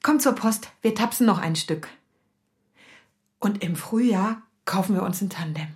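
A woman's voice: mid-range voice, a little slow, noisy background, close to an everyday voice. An actress playing a resolute, practical young refugee woman in a radio play, with plain colloquial talk. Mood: eager, practical.

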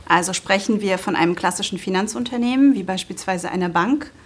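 A woman's voice: medium pitch, a little fast, breathy edge. A woman speaking as an official spokesperson, giving formal, matter-of-fact explanations in an interview. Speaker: financial regulator spokesperson.

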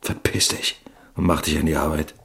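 A man acting in a radio play as a gruff, domineering garage boss, curt, sarcastic and threatening. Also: rumbling low, flat delivery, moderate pace, fairly bright tone, full character voice. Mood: cold anger.

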